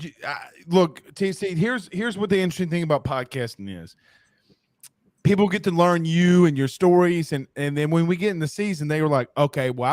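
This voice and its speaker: A man hosting a sports podcast, interviewing in a casual, animated and very informal way. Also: a little high, no room sound. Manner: animated, informal, peppers talk with "you know, like".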